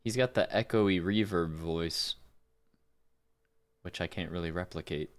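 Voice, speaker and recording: A man with a fairly low voice hosts a livestream about voice acting. He chats casually with viewers in an informal, conversational way. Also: a little slow. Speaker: livestream host doing voice acting.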